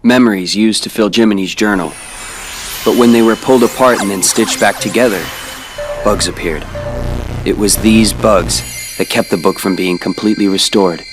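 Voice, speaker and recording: A young man playing a calm, cryptic figure made of data, explaining things evenly in a game cutscene. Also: on the low side, soft background music, natural voice. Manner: calm, measured.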